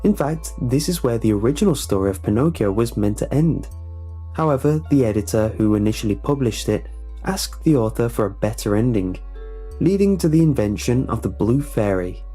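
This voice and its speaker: Mellow male narrator over background music. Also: medium pitch, lively intonation, normal speed, breathy edge. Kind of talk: scripted documentary-style narration. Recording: music playing behind the voice.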